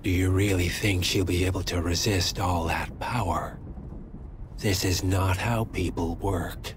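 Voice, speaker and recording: A smug, cornered villain. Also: male voice, low-pitched, steady tone, a little slow. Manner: dry, polished, condescending. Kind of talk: video game cutscene acting. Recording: ambient noise and a vehicle behind.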